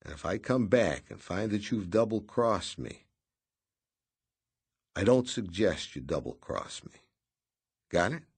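A man voicing a tough, curt man giving blunt orders over the phone with quiet threats. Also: sits low, big pitch swings, a little slow, pauses often, slightly rough, exaggerated voice. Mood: threatening. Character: hard-bitten male stalker, curt and tough.

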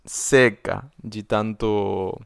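A man from Argentina with an Argentine accent, speaking Portuguese as a second language, co-hosting a podcast in casual chat and often pausing to search for words. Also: lowish voice, fairly even intonation, vocal fry, no echo, studio quality. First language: Spanish. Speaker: podcast co-host.

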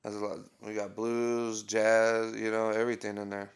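Thirties man, low-pitched, casual swearing hype talk from a home studio. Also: monotone, moderate pace, clean tone. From Oregon. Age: 30s. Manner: loose, profane, hype talk. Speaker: rapper promoting his album. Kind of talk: casual podcast chat.

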